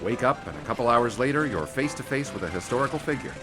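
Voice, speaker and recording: An actor playing a wry young 1920s sportswriter who narrates in the first person. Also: male voice, medium pitch, expressive, fast talker, over music, lightly acted. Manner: wry and breezy.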